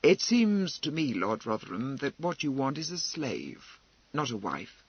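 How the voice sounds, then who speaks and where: Man as a firm, level-toned gentleman. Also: mid-range voice, very animated, vocal fry, husky, highs cut off, exaggerated voice. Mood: firm reproach. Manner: level, measured tone. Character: level, firm, quietly aggressive gentleman defending a girl.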